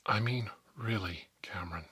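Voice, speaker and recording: A man playing a stern, pompous school principal in a whispered ASMR role play, scolding pupils in halting, drawn-out phrases. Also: lowish voice, sharp tone, breathy edge. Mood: stern, accusing. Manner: pompous, halting, drawn-out, repeats words for emphasis.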